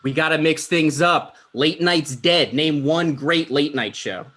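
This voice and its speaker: A man's voice: fairly high pitch, a little slow, a bit bright. A man playing a self-important, insecure would-be talk show host, dismissive and argumentative, in a comedy table read. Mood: defensive.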